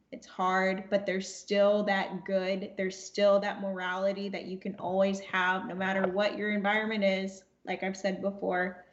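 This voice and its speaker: A young woman speaking as a podcast guest, reflective and earnest, repeating phrases for emphasis. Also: medium pitch. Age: young adult. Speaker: podcast guest, audio drama cast member. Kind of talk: reflective conversation. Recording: echoey room, dull lo-fi sound.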